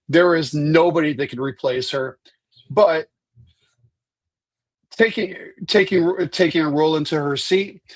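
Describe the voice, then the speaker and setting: A man in his fifties, stuffy and husky from allergies, answering questions as a candidate on a video call, chatty with frequent restarts. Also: a little high, no echo. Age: 50s. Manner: chatty, restarts and repeats words. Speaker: state senate candidate. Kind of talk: virtual town hall answers.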